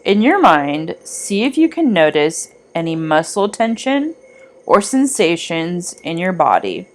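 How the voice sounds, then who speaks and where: A woman reading a scripted guided meditation in a measured, gently instructing manner. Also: fairly low pitch, very animated.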